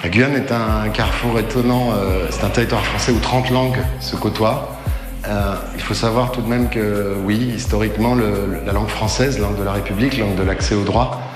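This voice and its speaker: Low-voiced man in an echoey recording. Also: fairly even intonation, moderate pace. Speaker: regional official, explainer. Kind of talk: prepared explanatory answers. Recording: echoey reverberant sound with music bed.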